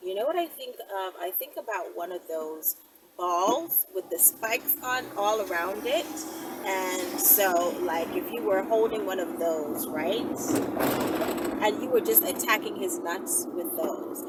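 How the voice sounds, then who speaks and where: A woman vlogger chatting casually to her viewers in an animated, giggly, playful way as she answers their questions. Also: medium pitch, distant mic. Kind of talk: casual vlog chat to viewers. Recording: loud ambient vehicle noise, off the mic.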